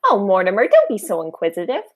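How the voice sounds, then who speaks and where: A teenage woman playing a sweet, unflappable elderly aunt, genteel and gently scolding, in a high school radio play. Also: huge pitch swings, quick pace. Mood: prim, unconcerned.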